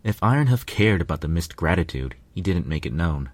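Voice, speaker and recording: A man's voice: low voice, sing-song, brisk, a little mellow, dry recording. A man reading scripted first-person fiction as its narrator.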